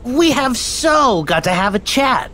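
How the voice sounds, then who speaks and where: Slacker game villain: whiny, drawling, wildly swooping pitch. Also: male voice, unhurried, exaggerated voice. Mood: chummy. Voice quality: whiny, drawling, easily excited. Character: lazy, cowardly young man, a reluctant villain. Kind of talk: video game voice acting.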